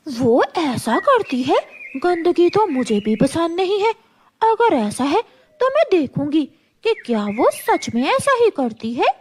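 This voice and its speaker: A woman's voice: extremely high pitch, sing-song, slow, a little mellow, a little hiss or hum, exaggerated voice. A woman voicing a big, bossy female eagle in a children's cartoon, stern, protective and questioning. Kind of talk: children's cartoon story dub.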